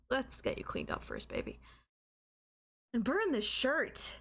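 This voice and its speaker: A woman playing a doting, obsessive and calmly manipulative girlfriend in an intimate ASMR audio roleplay, coaxing and softly teasing. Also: sits high, takes it slowly, gravelly, slightly rough, full character voice. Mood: calm, probing. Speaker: voice actor.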